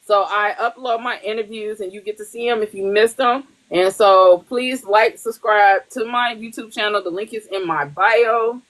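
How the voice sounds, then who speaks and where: A woman's voice: medium pitch, moderate pace, hardly any pauses, a bit bright, rough recording. A woman hosting a podcast in casual chat, blunt and profane, laughing often. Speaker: podcast host.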